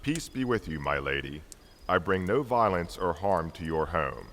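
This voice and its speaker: A man's voice: low-pitched, expressive, moderate pace, a bit bright. A man playing a devout, courteous Magus in an amateur dramatic Christmas reading, speaking in a formal, solemn, declamatory way. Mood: courteous, eager. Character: Artaban, devout, courteous, weary male Magus seeking a king. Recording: long hall reverb, faint background noise.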